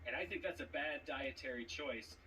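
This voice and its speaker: A man's voice: medium pitch, normal speed, bright, forceful tone. A man delivering a trash-talk promo as a competitor, cocky and theatrical, landing pointed emphasis on key words. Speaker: trivia champion competitor. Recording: distant mic with background noise.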